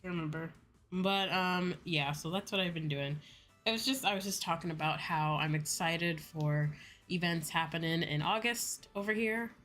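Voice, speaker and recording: Low voiced girl streamer just rambling to chat, super casual, swears a bit, faint music in back. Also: moderate pace, a bit bright. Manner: chatty, rambling, heavy use of like, casual swearing. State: sleepy. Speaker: VTuber livestreamer; school teacher. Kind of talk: casual livestream chat to viewers. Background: music behind the voice.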